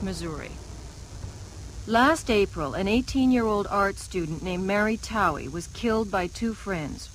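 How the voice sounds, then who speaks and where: North American woman reporter, faintly noisy tape. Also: medium pitch. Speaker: TV news reporter. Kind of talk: scripted news documentary narration. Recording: faint noise, old TV broadcast tape.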